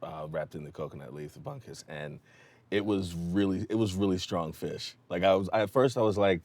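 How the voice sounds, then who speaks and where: A man with a very deep voice chats casually on a panel, laid-back and quick to laugh. The sound is dry and close. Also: darkish tone, dry recording. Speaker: guest.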